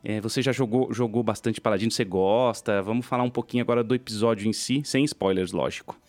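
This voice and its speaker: A man's voice: lowish voice, quick pace, a little mellow. A Brazilian man hosting a podcast in a casual, conversational style. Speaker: podcast host.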